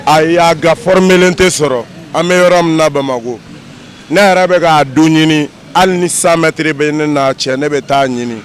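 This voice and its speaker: A man's voice: on the high side, measured pace, slight background noise. A young man with a slightly raised voice answers a street reporter's question outdoors. He speaks earnestly into a handheld mic that is held out to him. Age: young adult. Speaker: street interviewee. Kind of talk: vox pop answer. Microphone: handheld mic held by interviewer.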